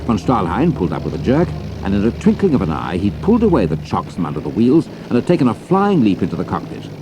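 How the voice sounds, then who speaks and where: Low, animated British male storyteller. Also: fast talker, background noise. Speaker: narrator. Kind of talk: scripted dramatic storytelling narration.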